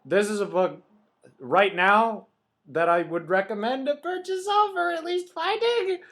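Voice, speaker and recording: A man co-hosting a podcast, chatting casually and jokily about a book in an excitable way. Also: high voice, measured pace. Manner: excitable, jokey. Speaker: podcast co-host. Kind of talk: casual book-discussion chat.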